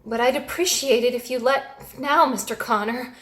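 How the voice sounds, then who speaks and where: A woman's voice: a little high, normal speed, lightly acted, poor audio. An actress playing a prim, loyal young lady who defends the doctor she loves, with formal, proper diction in a theatrical table read. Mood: indignant.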